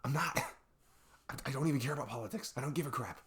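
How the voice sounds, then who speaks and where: A man playing a young best friend who confides in a halting, rambling, exasperated way in an ASMR audio roleplay. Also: mid-range voice, a bit bright, slight background noise, lightly acted. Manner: rambling, halting, exasperated sighs and nervous laughs. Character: young male best friend venting about arguing with parents.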